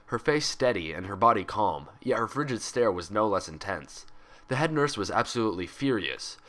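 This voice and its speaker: Low, bright-voiced man narrating a story. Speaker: fan fiction reader and host.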